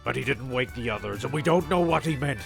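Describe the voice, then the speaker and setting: Guy narrating a funny story, really croaky, trips over his words and repeats bits, music behind. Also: medium pitch, lively intonation, fast talker. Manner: stumbling, repeating phrases, offhand.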